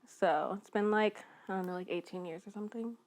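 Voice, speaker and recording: A woman's voice: mid-range voice, brisk. A woman in her mid twenties chatting casually as a podcast guest, informal and a little tentative in her phrasing. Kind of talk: casual chat.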